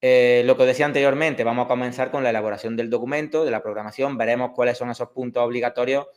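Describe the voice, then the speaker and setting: A man's voice: mid-range voice, steady tone, quick pace, darkish tone. Male teacher, 30s, Andalusian accent, hesitant, casual lecturing tone. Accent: Andalusian Spanish. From Córdoba, Spain. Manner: hesitant, many fillers and restarts. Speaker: exam prep teacher. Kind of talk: online course introduction.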